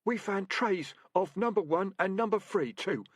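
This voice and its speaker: A man's voice: sits high, animated, normal speed, husky, no echo, clean studio sound, pitched above the performer's own voice. A man voicing a nervous young male rat who serves as a deputy and speaks earnestly. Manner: anxious, earnest.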